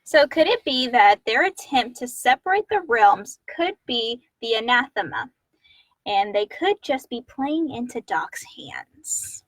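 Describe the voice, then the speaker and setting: A woman's voice: a little high, big pitch swings, unhurried, no room sound, rough recording. A woman co-hosting a casual question-and-answer show, talking in a conversational way. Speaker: co-host reading listener questions. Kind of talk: casual chat, reading out and discussing questions.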